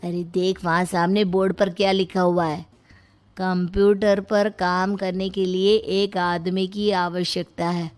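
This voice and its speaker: A woman's voice: on the low side, level tone, measured pace, darkish tone, clear, resonant tone, natural voice. A woman voicing a lazy, food-loving young son-in-law in a moral story cartoon, pleading and boastful. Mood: craving, determined.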